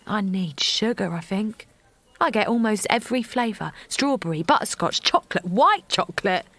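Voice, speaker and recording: An actress playing Linda, an exhausted young mother, who narrates and talks in a halting, apologetic way. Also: mid-range voice, lively intonation, dry recording. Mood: weary. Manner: halting, apologetic, often softly spoken. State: tired, overwhelmed. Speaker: narrator and lead character. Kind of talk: audio drama acting.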